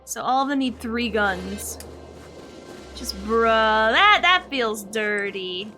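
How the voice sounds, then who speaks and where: A woman's voice: a little high, animated, slow, bright, forceful tone, soft background music. A woman doing casual, playful live game commentary as a streamer, given to exclaimed reactions.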